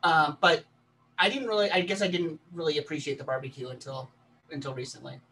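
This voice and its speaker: Guest presenter, man from Texas, hesitant and full of ums, higher voice, distant mic on a video call with light background hiss. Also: steady tone, bright, forceful tone. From Texas, USA. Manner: halting, many ums and restarts. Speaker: guest presenter, planetary scientist. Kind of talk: casual chat then slide presentation.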